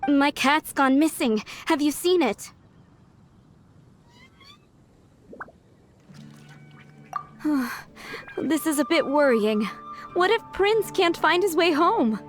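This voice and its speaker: A woman's voice: sits high, lively intonation, measured pace, faint music behind. An actress playing a polite young townswoman in a video game, earnest and fretful. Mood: worried.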